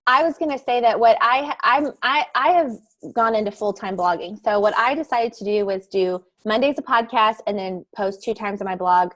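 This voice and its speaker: A woman guest giving advice on a video call, animated and acting out little quotes. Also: on the high side. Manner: quick, animated, acts out quotes. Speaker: guest, podcaster and blogger.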